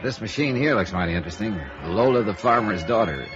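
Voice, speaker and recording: A man's voice: on the low side, expressive, fairly quick, natural voice. An actor playing a wry, easygoing male insurance investigator in a 1950s radio noir drama, bantering and narrating in a hard-boiled way. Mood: casual, probing. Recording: dull vintage radio recording with music.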